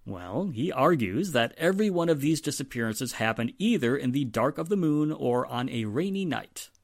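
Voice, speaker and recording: An actor as a male police inspector, 1940s radio drama, weary, sardonic, emphatic, widely swinging pitch, dry close sound. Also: lively intonation, normal speed, no room sound. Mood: reluctant.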